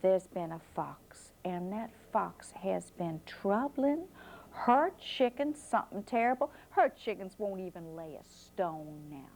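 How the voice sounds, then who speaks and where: A woman voicing a firm old grandmother who gives orders in an unhurried drawl. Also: medium pitch, animated, vocal fry, a little mellow. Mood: caring.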